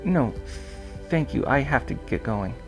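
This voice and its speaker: A polite, inquisitive young lady voiced by a man reading an audiobook, pitched well above his narration. She sounds hesitant and a little nervous, with lively swings in pitch. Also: unhurried, music in the background. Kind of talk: audiobook character voice.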